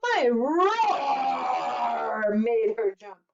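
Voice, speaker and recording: A woman's voice: high voice, big pitch swings, extremely slow, shouting, highs cut off, a crowd in the background, pitched above the performer's own voice, exaggerated voice. A woman voicing a boastful, roaring lion in a children's storybook. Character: boastful lion with a big roar.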